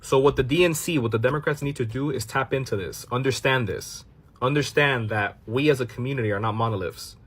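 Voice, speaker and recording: A man's voice: medium pitch, brisk, breathy edge. A man from Miami reacting to a clip and explaining his view straight to viewers, dropping in Spanish phrases. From Miami, United States. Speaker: commentary channel host. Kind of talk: casual reaction commentary to a clip.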